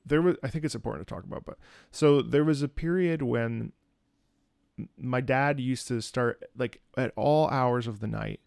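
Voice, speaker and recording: A man giving casual, candid reaction commentary as a host, thinking aloud in a halting, reflective way. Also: medium pitch, creaky, darkish tone, dry recording, clean studio sound. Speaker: host, opera singer reacting to a game.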